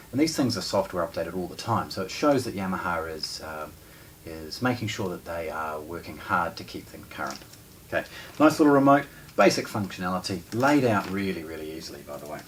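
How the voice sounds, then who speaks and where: A man in his forties with a New Zealand accent giving an informal, explanatory unboxing demonstration, pausing mid-sentence as he goes. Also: deep voice. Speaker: product presenter for a hi-fi shop. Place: indoor room with shelves of discs and a wooden table. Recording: distant mic, noticeable background noise.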